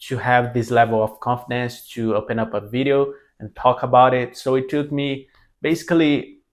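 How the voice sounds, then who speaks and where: A young man with a Brazilian Portuguese accent speaks to the camera in easy, unscripted talk. His English is not his first language, so he pauses and restarts often. His voice sits at an ordinary middle pitch. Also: little pitch movement. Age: 20s. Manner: halting, many restarts and self-corrections. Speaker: language mentor.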